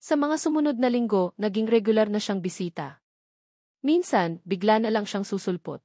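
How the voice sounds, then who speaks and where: A woman's voice: mid-range voice, moderate pace, warm tone, no room sound. Woman narrating a dry, close audio story. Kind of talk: scripted first-person audio drama narration.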